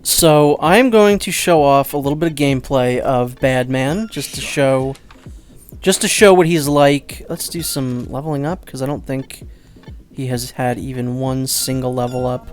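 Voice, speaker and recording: A man giving casual, rambling let's play commentary, second-guessing himself out loud and swearing offhandedly. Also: medium pitch, normal speed, faint music behind.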